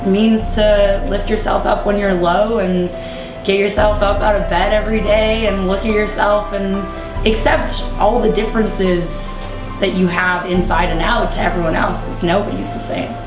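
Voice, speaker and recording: A young woman in her early twenties speaks sincerely and thoughtfully, her sentences running on smoothly. Her voice sits at a middle pitch, heard in an echoey room with music playing underneath. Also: fairly even intonation, normal speed. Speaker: interviewee. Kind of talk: casual personal testimony.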